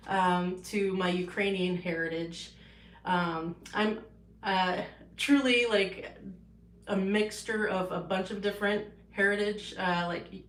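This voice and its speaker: A woman's voice: on the low side, roomy sound, a little hiss or hum. A woman artist casually showing her paintings on a livestream, rambling with many fillers and restarts, in her home art studio.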